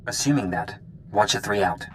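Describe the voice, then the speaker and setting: A man's voice: fairly low pitch, expressive, normal speed, slight background noise, lightly acted. A man playing a blunt, dismissive intelligence officer in a video game briefing, dry and faintly disdainful. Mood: businesslike. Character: blunt, dismissive male Imperial intelligence watcher.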